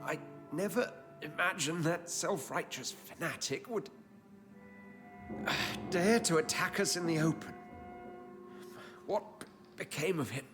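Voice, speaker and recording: A man's voice: fairly high pitch, a little slow, long pauses, close to an everyday voice. An actor playing a badly injured male physician in a video game, earnest and evasive, his voice strained. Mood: wary, strained. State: strained, gravely hurt. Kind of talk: dramatic video game acting. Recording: dramatic music behind.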